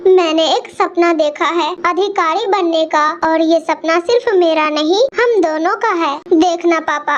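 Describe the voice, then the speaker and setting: Woman as a very high-pitched young daughter bird. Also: dull audio. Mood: determined, encouraging. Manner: earnest, pleading. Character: young daughter bird, studious, determined and devoted to her father. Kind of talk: Hindi cartoon voice acting.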